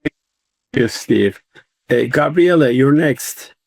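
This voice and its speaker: A man moderates a live investor panel, halting and full of fillers as he introduces each guest. His voice sits at an ordinary pitch and sounds dry and close. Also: expressive, husky, no echo. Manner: halting, many fillers and restarts.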